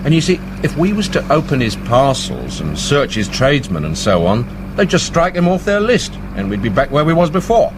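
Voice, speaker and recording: Actor as a lively working-class English policeman. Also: medium pitch, normal speed, lightly acted. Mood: obliging. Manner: respectful, plain-spoken, colloquial. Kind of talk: radio drama acting. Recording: street and car noise behind.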